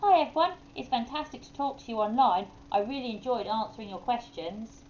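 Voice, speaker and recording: A woman's voice: on the high side, fairly quick, darkish tone, dull audio, slight background noise, pitched above the performer's own voice, close to an everyday voice. A woman voicing a friendly, confident male owl celebrity in a storybook, speaking articulately. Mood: friendly, proud.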